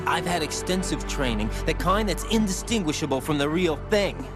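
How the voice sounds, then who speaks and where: An actor playing an earnest, defensive young special-forces rookie in a video game cutscene. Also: male voice, a little high, animated, normal speed, over music, natural voice.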